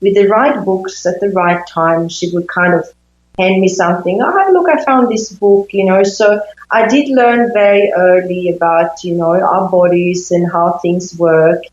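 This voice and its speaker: A woman in her fifties from Sweden, a native Swedish speaker, giving reflective interview answers with thoughtful pauses in a conversational style. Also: lowish voice, darkish tone, roomy sound, muffled recording. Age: 50s. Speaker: interview guest, intimacy coach.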